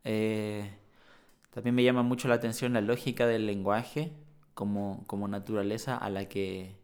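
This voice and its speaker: A man speaking as a poet guest in a reflective conversation, thoughtful and halting with many restarts. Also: lowish voice, level tone.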